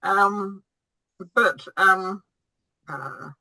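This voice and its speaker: A woman's voice: medium pitch, extremely slow, bright, forceful tone, no echo, poor audio. A woman astrologer answering audience questions over a video call, hesitant, with many ums and restarts. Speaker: guest astrologer.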